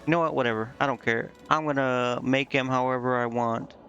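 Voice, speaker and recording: A man's voice: mid-range voice, fairly even intonation, fairly quick, fairly bright tone, highs cut off. A man streaming a game, muttering indecisively to himself in casual commentary as he thinks aloud. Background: game music.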